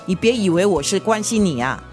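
Actress as grumbling, sharp-tongued mother. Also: medium pitch, animated, brisk, soft background music, close to an everyday voice. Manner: grumbling, curt, scolding. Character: gruff, sharp-tongued, secretly caring mother. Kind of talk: Mandarin radio drama acting.

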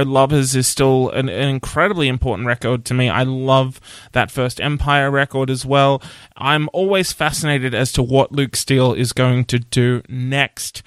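Bright-voiced podcast host. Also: male voice, medium pitch, no room sound. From Australia. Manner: enthusiastic, laughs often. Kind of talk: casual interview chat.

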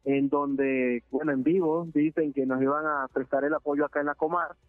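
A man from Venezuela speaks over a thin, tinny phone line. His voice sits a little high for a man, and he talks earnestly, stopping and restarting often with filler sounds. The sound is dry and close. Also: fairly even intonation, moderate pace, no echo, soft background music. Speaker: interviewee, deported migrant. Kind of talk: phone interview testimony.

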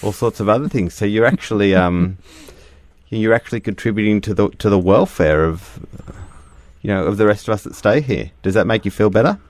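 A middle-aged man hosts a radio show in a very low voice. The sound is dry and close. He chats easily and talks through explanations patiently, leaning on fillers. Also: brisk, darkish tone, dry recording. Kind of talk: casual radio chat.

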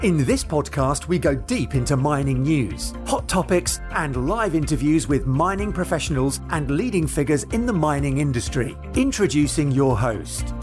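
Higher-pitched male announcer over music. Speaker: podcast intro announcer. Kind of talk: scripted promotional intro voice-over.

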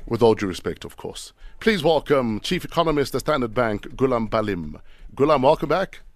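A man's voice: mid-range voice, big pitch swings, normal speed, no echo. A man hosting a breakfast radio show in the studio, upbeat and asking short, direct questions. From South Africa. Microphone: studio microphone.

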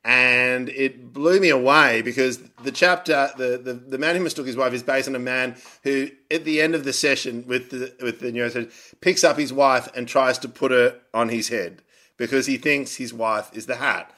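A man's voice: mid-range voice, steady tone, moderate pace, bright, forceful tone. A man co-hosting a podcast, chatting casually in a playful, jokey way and rambling into stories. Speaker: podcast co-host.

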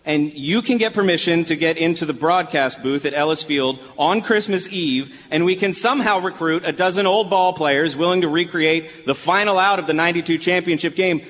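A man's voice: fairly high pitch, brisk, few pauses, fairly bright tone, lightly acted. A man acting in a live radio play as a skeptical, hesitant adult son who stammers a little. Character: Brian, skeptical adult son of a feuding sportscaster. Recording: live stage, long reverb, clear noise.

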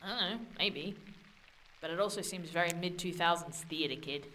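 An actress playing an irreverent, skeptical and wary young woman adventurer in an audio drama, talking in a casual, sardonic, expressive way. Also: on the low side, moderate pace, a little hiss or hum, poor audio.

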